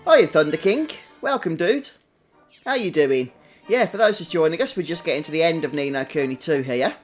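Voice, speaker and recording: Male streamer, British, fairly high voice, chatty and casual gameplay commentary over faint music. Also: expressive, normal speed. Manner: chatty, greets viewers individually. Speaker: game livestream host.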